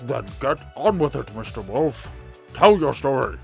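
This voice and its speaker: A man's voice: medium pitch, big pitch swings, a little slow, gravelly. A man playing a pompous, impatient older lord mayor in a comic dub, with a commanding manner. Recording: music under the voice.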